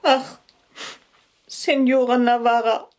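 Slow-spoken, devoted old wardrobe maid. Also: female voice, fairly high pitch, flat delivery. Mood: sorrowful. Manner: pleading, insistent. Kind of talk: German audio drama acting.

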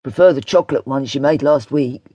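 A woman's voice: rumbling low, a little fast, dark tone, slightly rough, no room sound, highs cut off. A woman voicing a sarcastic, slangy, indignant British teenage boy in an audiobook, offhand and sardonic.

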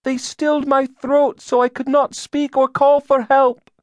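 A man's voice: very high-pitched, level tone, unhurried, dry recording, pitched above the performer's own voice. A man voicing a frightened sixteen-year-old Puritan girl who testifies in a fearful, trance-like way. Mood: entranced, fearful.

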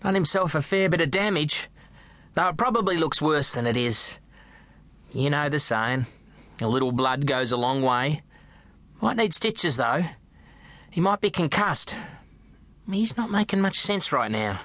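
A kindly middle-aged neighbour, steady and reassuring, as a man puts him on. His voice sits a little higher than plain speech. The sound is close and dry. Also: a little slow, long pauses, no room sound. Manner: reassuring, matter-of-fact.

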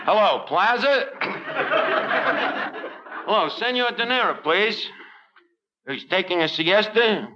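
A brash, wisecracking tavern manager with a high, lively, bright voice. He mangles his grammar as he talks fast in 1940s radio comedy style. The sound is dull, like an old radio broadcast. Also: a little hiss or hum, full character voice. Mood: scheming.

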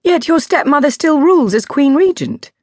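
A clever, curious young woman adventurer, acted by a female narrator, courteous and full of questions. The voice lifts well up in pitch in this audiobook tale, recorded dry and close. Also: animated, moderate pace, dry recording. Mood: curious, questioning. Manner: inquisitive, courteous.

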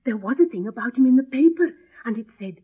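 A woman's voice: a little high, lively intonation, quick pace, slightly rough. An actress playing a naive, chatty young servant girl in a radio drama, artless and deferential. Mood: wistful, admiring. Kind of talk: early radio drama acting. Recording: tinny, band-limited old radio recording.

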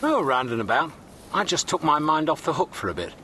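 An actor playing a breezy, cheerfully unhinged galactic hitchhiker in a radio comedy, whimsical and offhand. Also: male voice, a little high, sing-song, brisk, sharp tone, a little hiss or hum. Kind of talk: radio comedy drama acting.

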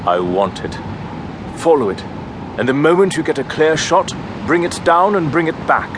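An actor playing an arrogant wealthy gentleman who speaks slowly and grandly, commanding his servants. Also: a little high, big pitch swings, noisy background. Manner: slow, imperious, grandly savoring. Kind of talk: audio horror drama.

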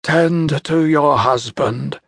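A man voicing a cold, calculating warrior who speaks slowly and with menace. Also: on the high side, vocal fry, a bit bright, no room sound, studio quality, full character voice.

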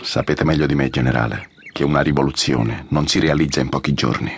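An actor playing a loyal, war-worn colonel, measured, sombre and respectful, in an audio drama. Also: male voice, rumbling low, steady tone, vocal fry, slight background noise. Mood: grave, disillusioned. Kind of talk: Italian audio drama acting.

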